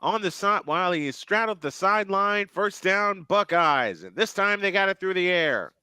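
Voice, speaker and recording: A man doing live play-by-play commentary on a football game, surging in pitch and volume on big plays and often repeating phrases. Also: lively intonation, moderate pace, pushed and bright, clear, resonant tone, dry recording, clean studio sound. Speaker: play-by-play announcer.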